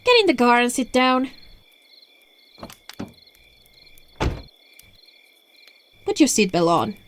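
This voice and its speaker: A woman's voice: a little high, sing-song, slight background noise. A woman voicing a seductive, teasing, controlling witch in an ASMR roleplay, coaxing the listener, recorded on a phone microphone. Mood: stern, controlling. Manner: slow, coaxing, breaking into whispers and giggles.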